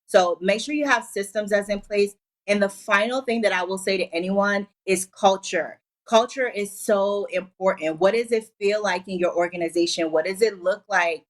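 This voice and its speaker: An upbeat woman from Liberia, in her forties, talks as a guest on a TV talk show. She sets out her business advice point by point, in a voice of middle pitch. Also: normal speed. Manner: upbeat, gives advice in clear numbered tips. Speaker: entrepreneur guest.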